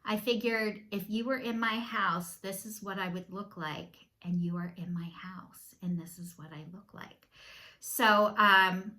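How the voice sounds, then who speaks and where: A woman's voice: medium pitch, fairly even intonation, unhurried, pushed and bright. A woman, chatting candidly and self-deprecatingly to camera from her home. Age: 50s. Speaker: vlogger. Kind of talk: casual chat to camera.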